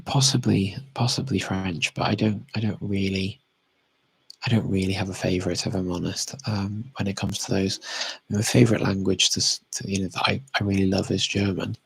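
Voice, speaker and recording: Man, very husky and rough, low-pitched, hesitant and self-correcting, casual question-and-answer chat. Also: flat delivery, moderate pace, no room sound, low-quality recording. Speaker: language expert answering audience questions.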